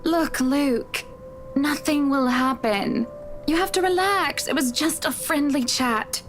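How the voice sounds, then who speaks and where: An actress playing a poised young wife with a pointedly sarcastic edge in a visual novel game. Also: female voice, high voice, slow, faint music behind. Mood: placating.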